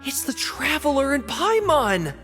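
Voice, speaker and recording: A man's voice: very high-pitched, sing-song, unhurried, bright, forceful tone, exaggerated voice. A man voicing an eager, earnest teenage boy in a video game. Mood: excited. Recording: reverberant, with faint music behind.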